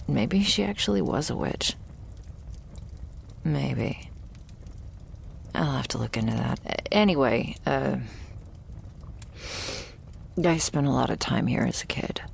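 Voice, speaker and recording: A woman playing a wry, guarded young thrall in a vampire ASMR roleplay, gravelly and often slipping toward a whisper, with a dry, hesitant way of musing. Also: rumbling low, a little hiss or hum, full character voice. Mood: reluctant, dry.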